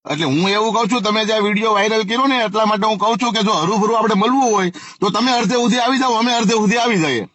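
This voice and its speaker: A man with a Saurashtra Gujarati accent talks quickly in a high voice on a phone call. He is pushy and confrontational, pressing his point again and again, with a dry, close sound. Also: a bit bright, no echo. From Gujarat, India. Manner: pushy, challenging, insistent.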